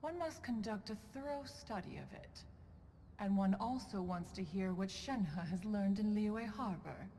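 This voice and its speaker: A woman's voice: mid-range voice, expressive, measured pace, mellow, in a large hall, slight background noise, natural voice. A woman playing a proud, doting immortal elder in a video game, with formal, eloquent and lofty speech. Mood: composed, caring. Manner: formal, lofty, calls herself "one". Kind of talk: video game cutscene voice acting.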